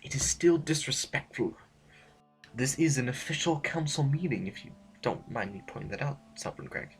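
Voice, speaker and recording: An actor playing a deferential, earnest council master in an audio drama, halting and politely formal, a stickler for etiquette. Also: male voice, mid-range voice, expressive, soft background music, close to an everyday voice. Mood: prim reproach.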